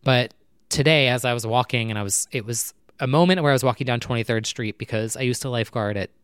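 A young man chatting casually at night, rambling with lots of fillers and talking low so his neighbors won't hear. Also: fast, clean tone, no echo. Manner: talking low and quietly to keep neighbors from hearing. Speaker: vlogger. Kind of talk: casual personal chat to camera. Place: apartment at night.

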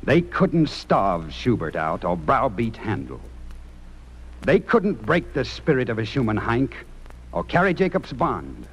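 A man's voice: medium pitch, sing-song, a little slow. An American man acting in an old-time radio drama, playing a stern, impassioned talent judge with earnest, theatrical speechifying. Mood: fervent. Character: stern, impassioned male music talent judge, remorseful underneath. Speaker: actor. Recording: noisy old radio recording.

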